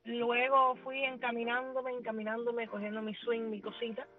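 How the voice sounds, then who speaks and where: Woman musician in her 30s, native English speaker, fairly high voice, chatty and repeating herself, faint music behind. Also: little pitch movement, normal speed, phone-quality sound. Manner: warm, chatty, repeats words while finding them. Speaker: musician guest. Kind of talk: radio interview.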